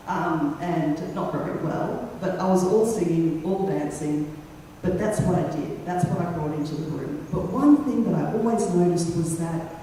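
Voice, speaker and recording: Middle-aged storyteller, mellow tone, echoey hall, distant mic. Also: mid-range voice, a little hiss or hum. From Western Australia and Fiji. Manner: conversational, many ums, pauses, reflective. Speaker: radio talent manager giving a talk. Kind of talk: personal storytelling talk. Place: lecture room.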